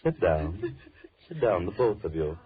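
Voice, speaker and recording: Villain for vintage radio thriller: a lowish-voiced, courteous madman, measured and mannered, muffled recording. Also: very animated, a crowd in the background. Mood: smugly polite. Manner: measured, mannered, politely menacing. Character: soft-spoken, menacing madman holding two girls captive. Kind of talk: old-time radio drama acting.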